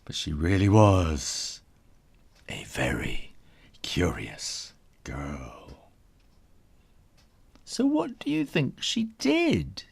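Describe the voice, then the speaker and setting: Very slow, theatrical male storyteller, swooping pitch. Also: huge pitch swings, creaky, husky. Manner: theatrical, suspenseful, drawn-out words and whispered asides; playful onomatopoeia and repetition. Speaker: author reading own children's story. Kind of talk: dramatic children's storybook read-aloud.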